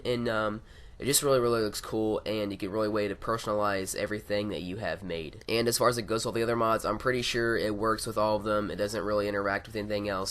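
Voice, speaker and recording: A man with a raspy, hoarse voice from being sick, casually reviewing game mods with a rambling, filler-heavy delivery. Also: lowish voice, fairly bright tone. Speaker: gaming YouTuber. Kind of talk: casual mod review over gameplay.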